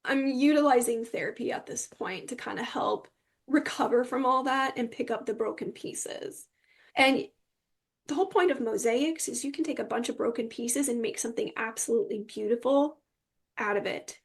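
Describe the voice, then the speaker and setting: A woman's voice: on the high side, normal speed, gravelly, slightly rough. A woman speaking as an interview guest, telling her personal story in a candid, emphatic way.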